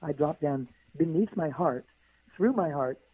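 A hoarse woman, a guest author on a radio interview, who answers in long flowing runs of stacked phrases. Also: low voice, lively intonation, a little slow. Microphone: phone call. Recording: tinny phone line.

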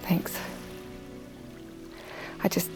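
A woman's voice: extremely slow, music in the background. An actress playing a weary, anxious woman who confides hesitantly, in a naturalistic audio drama. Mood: anxious, confiding. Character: weary, anxious pregnant woman seeking reassurance.

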